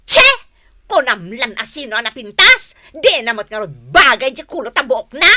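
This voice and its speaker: Shrill, scolding neighbourhood wife. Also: female voice, sing-song, moderate pace, pushed and bright, heavily acted. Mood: indignant. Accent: Filipino. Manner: scolding, indignant. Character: quarrelsome, sharp-tongued neighbourhood wife, quick to take offence. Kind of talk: comic radio drama acting.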